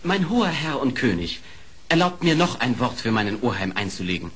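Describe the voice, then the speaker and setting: An earnest badger, lively intonation. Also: male voice, medium pitch, fairly quick, husky. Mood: respectful, pleading. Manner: respectful, earnest, persuasive. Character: loyal, earnest male badger, pleading nephew. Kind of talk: German radio play acting.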